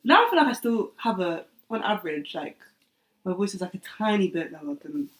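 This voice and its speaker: A young woman with a soft, quiet voice, chatting casually as a podcast co-host. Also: on the low side, very animated, a little fast. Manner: quiet, laid-back delivery. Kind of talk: casual chat.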